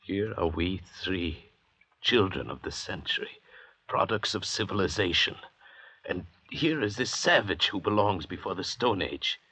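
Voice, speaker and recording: An actor playing an educated, bitter and pompous doctor among escaped convicts in an old-time radio drama, lecturing in a measured way. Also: male voice, deep voice, expressive, bright, forceful tone, breathy edge, full character voice. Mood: brooding, contemptuous. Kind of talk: 1940s-50s radio drama acting. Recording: dull, hazy old radio recording.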